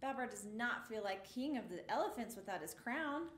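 A woman in her thirties reads a picture book to little children, her high voice bouncing all over with expression. The sound rings a bit in the small studio, picked up from across the room. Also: moderate pace, roomy sound. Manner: expressive, exclamations for the story. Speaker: storytime reader. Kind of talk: reading a picture book aloud to young children. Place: small indoor studio with a rainbow mural.

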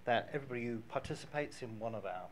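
A man in his fifties talking casually and haltingly as a panelist into a handheld microphone. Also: mid-range voice, expressive, slight background noise. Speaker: panelist, marketer. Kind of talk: casual live panel discussion. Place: small dim event venue stage.

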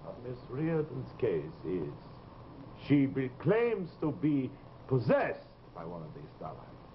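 An actor playing a grave, middle-aged sanitarium doctor, formal and hesitant, in 1950s television drama style. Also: male voice, medium pitch, huge pitch swings, a little slow. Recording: dull, noisy old TV soundtrack.

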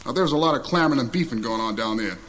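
A man's voice: mid-range voice, animated, rapid-fire, fairly bright tone, lightly acted. A man voicing a sickly, boastful old tyrant in a satirical story, chummy and slangy. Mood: boastful, griping. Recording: clearly noisy recording.

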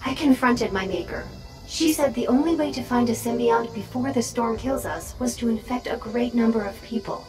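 A woman as a wry high-voiced robot drone sidekick. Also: normal speed, a little mellow, close to an everyday voice, rough recording. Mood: grave, confessing. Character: brisk, sardonic small robot drone companion, female. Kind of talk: video game character dialogue. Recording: game music behind the voice.

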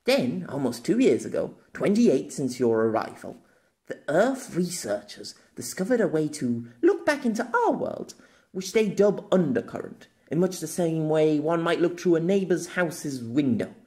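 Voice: medium pitch, very animated, normal speed, a little mellow, natural voice. A storyteller voicing a proud, playful young noblewoman in an audio novel, with arch, formal diction. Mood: eager, theatrical.

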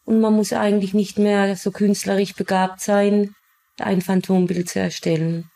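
A woman's voice: medium pitch, little pitch movement, moderate pace, vocal fry, dark tone. A woman from Germany, a police sketch artist, explaining her work in an interview.

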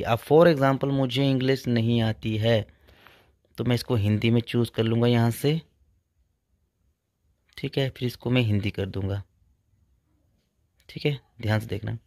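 A man's voice: medium pitch, dry recording, clean studio sound. A young man hosting a tech channel, walking through phone features step by step and leaning on the same filler phrases over and over. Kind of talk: casual phone tutorial.

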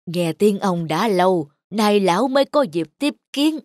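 A woman's voice: mid-range voice, animated, darkish tone, no echo, studio quality, close to an everyday voice. Woman voicing a humble old tea master. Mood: humble polite. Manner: slow, courteous, old-fashioned speech. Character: poor humble old man tea connoisseur. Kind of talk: dubbed cartoon folktale acting.

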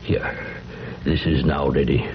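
A man's voice: rumbling low, steady tone, slow. An actor playing an obsessed older father, a fervent alchemist, urgent and commanding in theatrical radio drama style. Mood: intent, grave. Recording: muffled, noisy 1970s radio recording.

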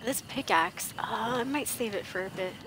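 A woman's voice: mid-range voice, lively intonation, normal speed, noisy background. A young woman streaming a game from home, chatting casually and thinking aloud as she sorts and prices her loot. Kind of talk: casual gameplay commentary. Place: home gaming setup, indoors.

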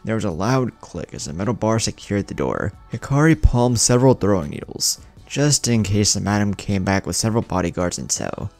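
A man's voice: fairly low pitch, animated, a little fast, creaky, few pauses, a little mellow, faint music behind. A man narrating a scripted fan fiction story in a dramatic storytelling manner.

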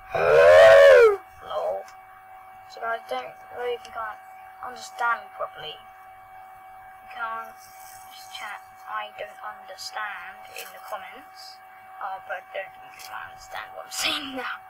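A female host giving excitable, rambling gameplay commentary over a screen recording, often trailing off into mumbles. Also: medium pitch, takes it slowly, music in the background, rough recording. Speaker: gaming channel host. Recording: steady hum behind the voice.

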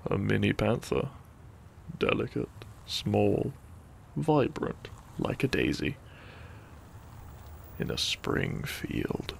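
A man reads a soothing bedtime story with heavy vocal fry and a very unhurried pace. His delivery is soft and breathy, often near a whisper, in a gentle ASMR style. Some faint background noise sits under the voice. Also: on the low side, animated. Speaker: sleep-aid audio narrator.

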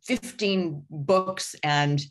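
A woman's voice: deep voice, very slow. A middle-aged woman co-hosting a casual podcast interview, speaking effusively. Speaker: podcast co-host. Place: home room on video call.

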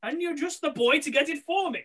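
A woman's voice: sits high, brisk, a bit bright. A woman playing a scheming, impatient male pantomime villain in a theatrical, insistent read-through. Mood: coaxing. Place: indoors at home, on a video call.

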